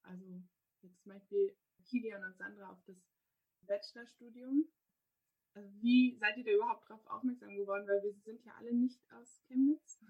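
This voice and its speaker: A woman's voice: medium pitch, steady tone, moderate pace, vocal fry, breathy edge. A young woman hosting a podcast, asking questions in a friendly, informal way over a video call. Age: young adult. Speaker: podcast host. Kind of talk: casual online interview. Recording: muffled, phone-like line.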